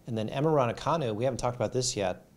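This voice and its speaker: American man, raspy voice, medium pitch, casual sports vlog commentary, halting delivery with repeated words. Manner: halting, repeats words and trails off mid-phrase. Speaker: YouTube sports commentator and host. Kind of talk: casual unscripted tennis commentary to viewers. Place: home studio.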